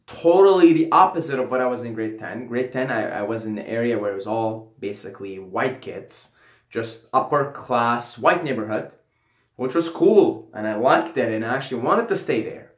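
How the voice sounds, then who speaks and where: A man's voice: mid-range voice, expressive, moderate pace, echoey room. A young man from Syria telling a casual personal story, rambling and often adding "right?" and "you know". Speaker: vlogger.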